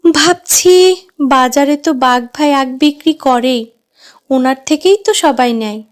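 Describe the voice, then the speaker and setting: A woman's voice: high-pitched, a little slow. A woman voicing a hardworking, worried animal housemaid mother in a children's cartoon, plaintive and outspoken. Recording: music under the voice.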